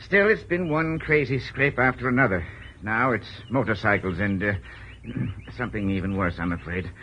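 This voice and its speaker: A man's voice: lowish voice, moderate pace, lo-fi sound, close to an everyday voice. An actor playing a wealthy, fretful middle-aged father in 1940s radio style, hesitant and fussy. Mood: uneasy. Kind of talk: 1940s radio drama acting. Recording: old radio recording, faint noise.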